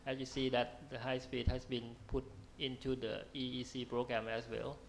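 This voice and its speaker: A man speaking on a discussion panel who hesitates and restarts often as he answers. His voice has a gravelly edge. A faint hum of noise sits behind him. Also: mid-range voice, flat delivery, moderate pace. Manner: hesitant, many fillers and restarts.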